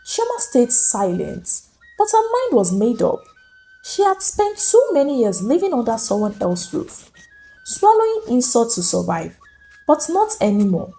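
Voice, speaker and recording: A woman narrates a scripted folktale with great drama. Her voice sits fairly high and swings widely in pitch, with soft music behind it. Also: low-quality recording. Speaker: storyteller, narrator. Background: faint music.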